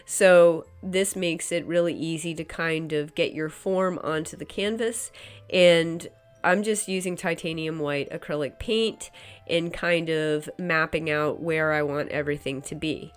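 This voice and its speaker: A woman's voice: low-pitched, a little slow, smooth, faint music behind. A woman with a head cold, sounding stuffy and nasal, chatting casually through a painting tutorial voice-over and hedging with "kind of" and "um". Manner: relaxed and chatty, hedges with frequent "kind of" and "um". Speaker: painter demonstrating her work. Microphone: voice-over recorded separately from the footage.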